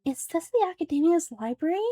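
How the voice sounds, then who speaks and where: Woman voicing a high-pitched excitable little fairy companion. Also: fast talker, no room sound, clean studio sound, soft background music. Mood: curious, wary. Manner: exclamatory, questioning. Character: small floating girl companion, childlike, curious and excitable.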